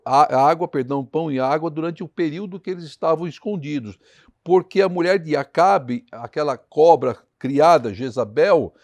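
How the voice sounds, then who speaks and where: Male preacher, Brazilian Portuguese accent, highish register with slight hoarseness, reading and explaining scripture, dry sound. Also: a little mellow, dry recording. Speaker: preacher leading a Bible study. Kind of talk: devotional Bible teaching with scripture reading.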